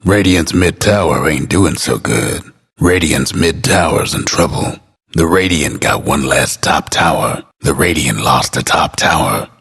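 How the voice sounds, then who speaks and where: Very deep, husky folksy narrator. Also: male voice, expressive, a bit bright, exaggerated voice. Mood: wry, understated. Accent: American. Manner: folksy, unhurried, understated. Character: laconic folksy drawling male narrator, wry and world-weary. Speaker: game announcer. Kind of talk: scripted game announcer lines.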